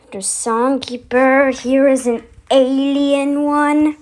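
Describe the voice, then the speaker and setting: High-pitched, slow-talking female host. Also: fairly even intonation, a little mellow. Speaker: video host. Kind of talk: casual chat to camera, demonstrating voice effects.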